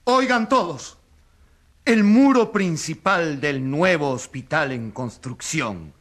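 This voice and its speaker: A man's voice: a little high, expressive, takes it slowly. A man playing a righteous, insistent journalist who pleads emphatically in a radio courtroom drama. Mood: triumphant. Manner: emphatic, theatrical. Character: righteous crusading male journalist, insistent and defiant.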